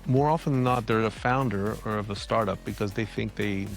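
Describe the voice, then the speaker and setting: A man's voice: fairly low pitch, brisk, vocal fry, faint music behind. A man in his fifties from Greece, a design leader answering interview questions in a reflective way with restarts, recorded in an apartment. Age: 50s.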